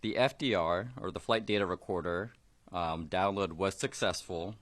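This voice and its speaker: A man's voice: sits low, moderate pace. A man speaking as a technical investigator, giving a formal briefing in a factual, matter-of-fact way.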